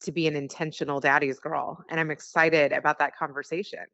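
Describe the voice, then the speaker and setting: A woman's voice: sits low, level tone, a little fast, sharp tone, no room sound. An American woman in middle age, a podcast guest talking casually and chattily over the phone. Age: 40s to 50s. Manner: chatty, informal. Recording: muffled phone call, dry.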